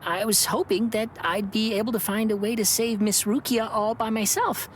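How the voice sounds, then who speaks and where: Woman as earnest young male healer, anime game, timid, gentle hesitant delivery, faint background noise. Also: fairly high pitch, steady tone, normal speed, lightly acted. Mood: hesitant, apologetic.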